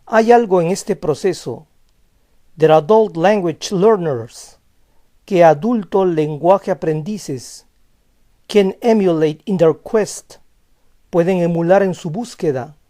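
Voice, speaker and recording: A young man giving a scripted language lesson as a voice-over, calm and explanatory, reading example phrases slowly. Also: high voice. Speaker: language teacher.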